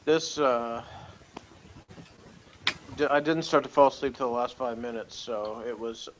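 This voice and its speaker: A man with a medium-pitched voice talks casually as a review co-host. He thinks aloud, circling back as he goes, and adds dry little jokes. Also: unhurried, noisy background. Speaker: co-host giving review opinions.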